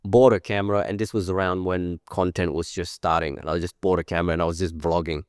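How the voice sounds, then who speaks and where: Thirties male guest, French first language, low smooth voice, dry close sound, loose rambling chat. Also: little pitch movement, fast, no echo. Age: 30s. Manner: chatty, rambling, many fillers. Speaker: guest, creative director. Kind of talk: casual interview.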